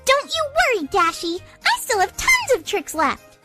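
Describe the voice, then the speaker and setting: A woman's voice: very high voice, huge pitch swings, normal speed, over music, exaggerated voice. A woman voicing a bubbly, hyperactive young female pony in a cartoon-style audio drama. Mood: cheerful boast.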